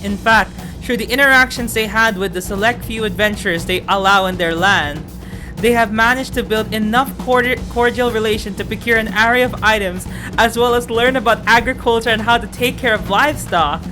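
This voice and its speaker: A woman game streamer reading story text aloud and commenting with effusive, exclamatory excitement. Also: fairly high pitch, brisk. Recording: music behind the voice.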